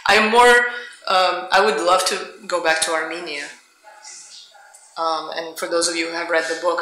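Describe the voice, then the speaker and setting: A middle-aged woman from the Soviet Union, answering audience questions as a guest author in a reflective, searching way. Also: fairly low pitch. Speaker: author and university teacher, guest speaker. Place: indoor public event room. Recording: echoey room, faint background noise, clicks.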